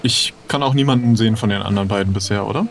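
A man's voice: lowish voice, rapid-fire, vocal fry. A man playing an improvised adventure in casual conversational speech, with a tentative, questioning manner. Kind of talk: casual in-character role-play chat.

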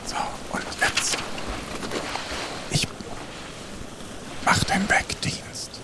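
A man playing a sneaky pirate crewman who talks in a breathy whisper. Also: drawn-out words, noisy background.

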